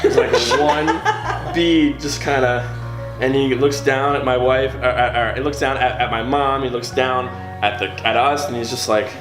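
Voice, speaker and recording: A man's voice: medium pitch, lively intonation, a little slow, over music. An American man, a pastor telling a personal story in a theatrical, emphatic, comedic way with a giggly edge.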